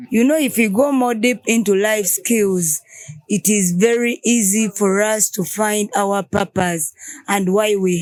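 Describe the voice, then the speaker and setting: A woman's voice: medium pitch, a little mellow, no echo. A woman with a Ugandan English accent in a casual group conversation, explaining ideas through examples and answering her own questions.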